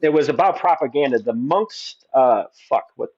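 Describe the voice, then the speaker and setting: A man's voice: mid-range voice, lively intonation, moderate pace, darkish tone, husky, lo-fi sound. A man in his forties, a guest on a livestream, talking earnestly and at length with many restarts. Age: 40s. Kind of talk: opinionated conversation.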